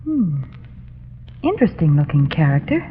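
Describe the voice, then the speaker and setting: A woman playing an anxious, sharp-witted society companion, refined and expressive, in 1930s radio drama style. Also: deep voice, moderate pace, breathy edge, full character voice. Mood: polite. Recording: tinny, noisy 1930s radio recording.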